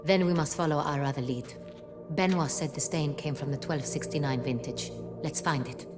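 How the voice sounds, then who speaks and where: A woman's voice: low-pitched, normal speed, loud music behind the voice, close to an everyday voice. An actress playing an imperious, commanding female sovereign with a regal, clipped manner in video game dialogue. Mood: composed, authoritative.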